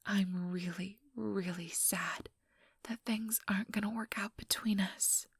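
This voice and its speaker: A woman's voice: medium pitch, steady tone, unhurried, no room sound. A woman playing an ambitious, coldly menacing female vampire in a whispered ASMR role-play, talking in a taunting, mock-sweet way close to the microphone. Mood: smug. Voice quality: soft, breathy whisper. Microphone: close, dry microphone.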